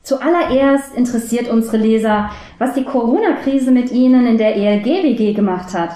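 A woman's voice: a little high, normal speed, mellow, echoey room, close to an everyday voice. A woman playing a courteous interviewer in a scripted comedy audio play, enunciating her questions precisely. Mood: polite, curious. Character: polite woman interviewer for a school yearbook.

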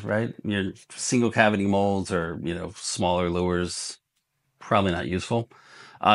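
A man in his forties hosting a review video, giving casual, blunt product advice in colloquial language, often checking in with a tag question. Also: sits low. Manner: blunt, colloquial, often tags points with "right?". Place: indoor workshop room with shelves of parts.

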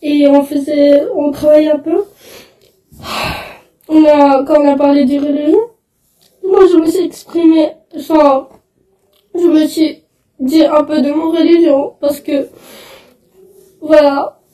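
A teenage girl, crying and halting as she tells her story to a phone camera indoors. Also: high voice, flat delivery, dark tone, roomy sound, recorded from a distance, low-quality recording. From Belgium. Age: teens. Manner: tearful, halting, upset. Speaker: student. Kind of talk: selfie video testimony. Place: indoors, small room. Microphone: phone held close, front camera.

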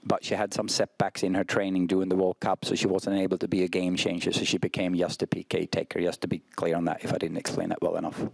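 A man in his forties with a Swedish accent, answering questions as head coach at a press conference, measured and restarting often. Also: low voice, completely flat delivery, gravelly, no echo. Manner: measured, frequent restarts and ums. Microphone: desk mic on stand.

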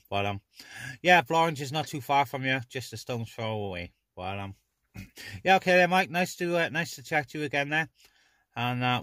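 A man's voice: mid-range voice, bright, forceful tone, no echo, studio quality. A man chatting casually as an amateur CB radio operator, recorded inside a parked car.

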